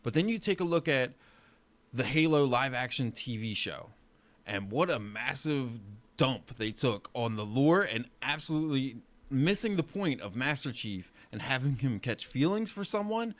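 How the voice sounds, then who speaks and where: A man's voice: mid-range voice, lively intonation, moderate pace. Man chatting casually, opinionated. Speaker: podcast panelist. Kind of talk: casual chat.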